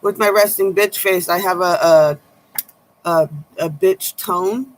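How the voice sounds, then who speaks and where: A woman tarot reader with a low voice, giving blunt, sharp-tongued advice in a casual chat to her viewers. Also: steady tone, takes it slowly, slight background noise, poor audio.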